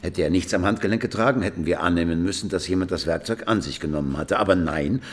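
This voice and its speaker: A man's voice: low-pitched, fast talker. An actor playing a pensive, doubting police detective who reasons skeptically with his partner in a crime radio play. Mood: skeptical reasoning. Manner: ruminative, skeptical.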